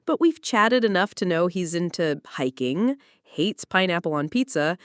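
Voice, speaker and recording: Expressive woman narrating a confessional story. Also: mid-range voice, no echo, clean studio sound. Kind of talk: dramatic first-person story reading.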